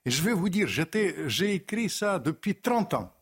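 An older man with a Russian accent, a former diplomat and writer talking as a guest in an animated, emphatic TV debate. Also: high voice, moderate pace, slightly rough.

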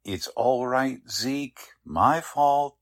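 A man's voice: mid-range voice, very slow. A man playing a courteous, self-assured small-town doctor in 1940s radio drama style, genial and a little patronising.

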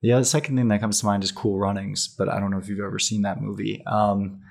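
Low, gravelly man hosting a chat. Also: fast. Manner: relaxed, rambling, many fillers. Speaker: podcast host. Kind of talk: interview conversation.

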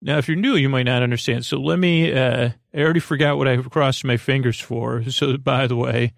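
Guy with a really creaky gravelly voice, rambly goofy bedtime podcast talk, keeps cutting himself off, dry close sound. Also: medium pitch, fairly even intonation, dry recording. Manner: meandering, halting, self-interrupting, goofy. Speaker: sleep podcast host.

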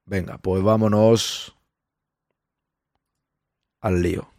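A man with a Spain Spanish accent hosting a casual livestream, a bit hoarse, chatting with viewers and greeting them by name. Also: on the low side, steady tone, very slow, a little mellow, dry recording, clean studio sound. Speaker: livestream host. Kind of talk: casual live chat with viewers.

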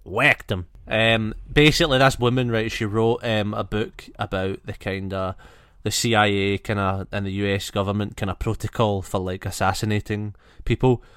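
Smooth-voiced man, casual podcast banter. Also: on the low side, fairly even intonation, normal speed, few pauses, fairly bright tone, dry recording, studio quality. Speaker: podcast co-host.

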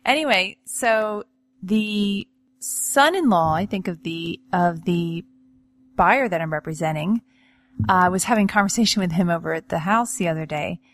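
A chatty woman co-hosting a relaxed podcast, winding through a long story. She laughs often, trails off and restarts her sentences. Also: fairly low pitch, normal speed. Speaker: real estate agent and podcast host. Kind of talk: casual chat.

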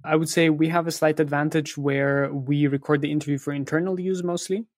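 A man's voice: fairly high pitch, steady tone, a little fast, no echo. Man explaining his process, dry close sound. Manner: explains step by step, with frequent "right?" and "you know" check-ins. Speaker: interview guest, content writer who interviews experts. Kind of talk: explanatory interview conversation.

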